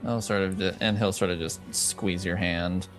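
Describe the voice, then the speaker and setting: A man's voice: low voice, fairly even intonation, moderate pace, faint music behind. A man playing a tabletop roleplaying game, improvising casually with brief, understated replies.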